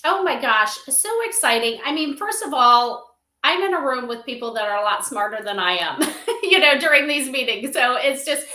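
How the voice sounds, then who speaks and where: A woman's voice: sits high, expressive, normal speed, sharp tone. A woman guest chatting casually and enthusiastically in an interview, with a loose conversational flow, recorded in a roomy livestream setup. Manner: enthusiastic, conversational, peppered with "you know". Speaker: interview guest, company advisor. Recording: roomy, echoey livestream audio.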